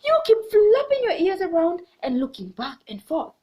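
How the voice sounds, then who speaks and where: A woman's voice: extremely high pitch, huge pitch swings, normal speed, a little mellow, pitched above the performer's own voice, heavily acted. A woman voicing an annoyed, stubborn storybook monkey in a scolding, insistent manner.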